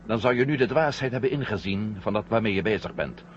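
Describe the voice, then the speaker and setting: A male actor playing a defiant, wry astronaut hero with a gravelly voice in a 1970 science fiction radio drama. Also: on the low side, fast, lightly acted. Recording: muffled old radio recording, clear noise.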